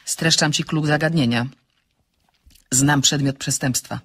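A bold woman sleuth in a radio play. She speaks briskly and with self-assurance in a husky voice. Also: steady tone. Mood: excited. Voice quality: low, husky. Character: excitable, bold amateur sleuth woman, composed when bluffing. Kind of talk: radio drama acting.

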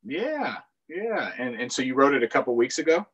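A middle-aged man hosts a children's storytime over a video call. He talks casually and in a friendly way at an ordinary male pitch, addressing kids and families. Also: sing-song, normal speed, husky. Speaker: county councilmember hosting children's storytime. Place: small furnished room indoors, on a video call. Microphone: video call microphone.

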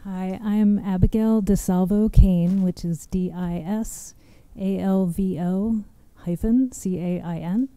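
Soft-voiced young woman at a hearing mic, really dark and mellow, smooth and dry. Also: medium pitch, steady tone, slow, few pauses, no room sound. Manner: soft-spoken, nervous. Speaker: public hearing speaker. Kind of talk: public testimony at a hearing. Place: large hearing room, indoors. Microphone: standing mic.

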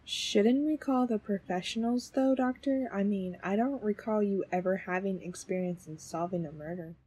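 A woman playing a sceptical, questioning young companion with a breathy, husky voice in an audio drama. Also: medium pitch, normal speed, dark tone, close to an everyday voice. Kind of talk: scripted audio drama acting.

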